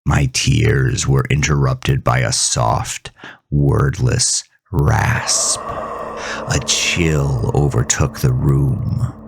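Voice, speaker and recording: A man playing a grieving, haunted farmer who narrates a horror audio drama in a brooding, deliberate, theatrical way. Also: very deep voice, steady tone, heavily acted. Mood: grim, haunted. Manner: brooding, deliberate, theatrical, dropping at times into breathy near-whisper. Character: grieving, haunted farmer telling his supernatural ordeal.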